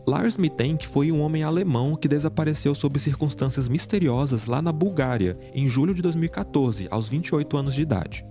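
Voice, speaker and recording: A man giving a scripted, measured true-crime narration. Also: a little high, warm tone. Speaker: narrator of the reacted video. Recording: music bed under narration. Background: background music.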